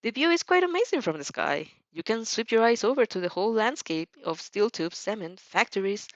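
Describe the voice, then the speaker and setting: Animated woman narrating a story. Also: mid-range voice, brisk, no echo, highs cut off. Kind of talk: dramatized fan fiction narration.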